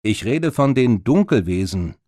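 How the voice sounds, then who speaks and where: A man voicing a gruff, self-assured Viking-like warrior in an audiobook, speaking with weight. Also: mid-range voice, big pitch swings, a little slow, warm tone, no room sound, clean studio sound. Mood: knowing.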